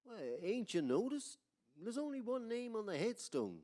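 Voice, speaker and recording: A man's voice: very high-pitched, big pitch swings, normal speed, mellow. A man playing a nervous, folksy cemetery caretaker in an old-time radio drama, with hesitant rustic speech. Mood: uneasy. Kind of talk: 1940s radio drama acting.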